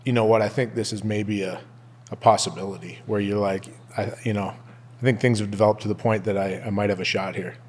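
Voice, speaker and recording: A man's voice: on the low side, fairly even intonation, brisk, breathy edge. A man hosting a casual interview, easygoing, with frequent short acknowledgments. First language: English.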